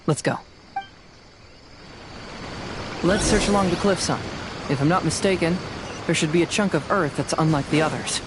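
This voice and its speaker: A woman voicing a composed, analytical young detective in a video game, deliberate and self-assured as they reason aloud. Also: sits low, noisy background, natural voice. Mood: decisive.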